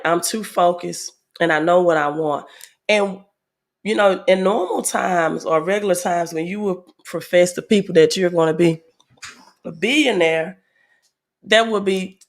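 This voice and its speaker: A woman hosting a casual livestream, a little hoarse from a head cold, reading viewer comments aloud with many fillers. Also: lowish voice. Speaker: livestream host.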